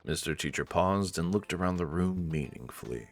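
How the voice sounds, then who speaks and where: A man with a very low voice reads visual novel narration. He drops in casual asides as he goes, and light music plays behind him. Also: lively intonation, moderate pace. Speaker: narrator and commentator.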